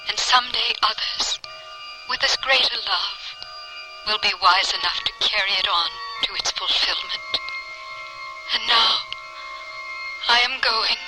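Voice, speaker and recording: An actress playing the tender, yearning spirit of a departed wife in an old-time radio drama, with music behind. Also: female voice, a little high, wildly swooping pitch, low-quality recording. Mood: tender farewell. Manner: slow, ethereal, yearning.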